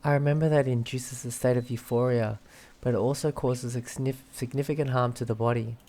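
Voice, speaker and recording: A man's voice: mid-range voice, fairly even intonation, brisk, dark tone. A man streaming a game, casually reacting and reading aloud as he plays.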